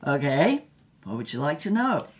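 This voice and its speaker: Audio drama: aging noir diva, very deep and raspy, blunt, wry, slangy. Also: female voice, very animated, moderate pace, exaggerated voice. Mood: wry reminiscing. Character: brassy old former film noir screen queen. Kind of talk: conversational storytelling in an audio drama.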